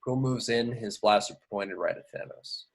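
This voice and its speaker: Male narrator, slightly deep voice, scripted action descriptions, amateur table read. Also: moderate pace, creaky. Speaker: reader of stage directions.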